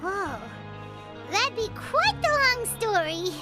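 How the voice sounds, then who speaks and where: A woman voicing a small, childlike fairy companion in a video game, squeaky and excitable, exclaiming naively and greedily. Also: takes it slowly, over loud music, heavily acted. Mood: wary, curious. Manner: refers to self in third person, exclaiming.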